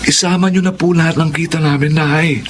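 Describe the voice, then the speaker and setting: A male actor plays a hardworking, respectful young brother in a radio drama. His voice sits fairly high, and there is clear ambient noise behind him. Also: fairly even intonation, fairly quick, natural voice. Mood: dutiful. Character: dutiful, hardworking older brother, a young street vendor. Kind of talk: Filipino radio drama acting.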